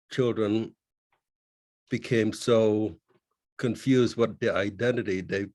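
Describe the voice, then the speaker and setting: Measured man with a dry, low voice. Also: no room sound. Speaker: panelist, community leader. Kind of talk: panel discussion.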